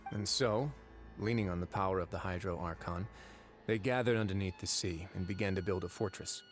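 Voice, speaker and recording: An actor playing a composed, wry young duke in a video game, speaking in a deliberate, measured storytelling manner. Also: male voice, low voice, faint music behind, natural voice. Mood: calm, measured. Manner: deliberate, dryly wry, unhurried. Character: composed, wry young duke who runs an underwater prison fortress.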